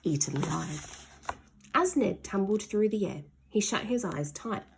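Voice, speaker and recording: A woman's voice: mid-range voice, expressive, measured pace, gravelly, background noise. A woman reading a children's story aloud expressively, stretching key words for dramatic emphasis.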